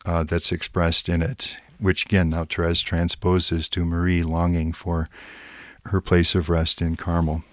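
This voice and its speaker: A man's voice: deep voice, no room sound, clean studio sound. A man, a priest, giving a reflective spiritual talk and carefully reading poetry aloud. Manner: careful, reflective, reads verse aloud slowly.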